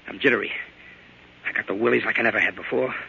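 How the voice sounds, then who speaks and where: A man's voice: on the low side, fast talker, vocal fry, sharp tone, husky, full character voice. An actor playing a nervous, hard-drinking gangster henchman in a 1940s radio crime drama, with slangy, whining tough-guy talk. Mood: jittery, scared. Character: jittery, hard-drinking, conscience-stricken male gangster henchman. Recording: muffled old radio recording.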